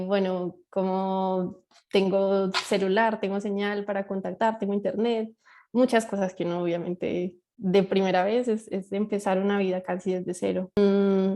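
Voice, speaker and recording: A young woman from Colombia shares her experiences in a relaxed, explanatory way, with frequent fillers and tag questions. Her voice is mellow, and her pitch stays fairly flat. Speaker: panel guest, master's student. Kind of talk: casual panel talk.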